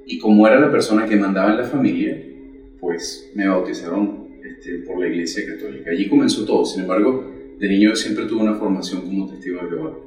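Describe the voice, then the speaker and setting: A man in his forties gives an earnest, measured account of his faith as a guest. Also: low-pitched, darkish tone, roomy sound, recorded from a distance, faint music behind, low-quality recording. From Venezuela. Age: 40s. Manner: earnest, measured storytelling with pauses. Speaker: catechist guest. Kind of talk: personal faith testimony.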